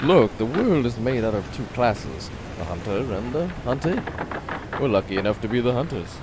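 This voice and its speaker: A man acts out a confident, breezy big game hunter in an old-time radio adventure. His mid-pitched voice is dramatic and self-assured. The recording has clear ambient noise behind it. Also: very animated, moderate pace, lightly acted.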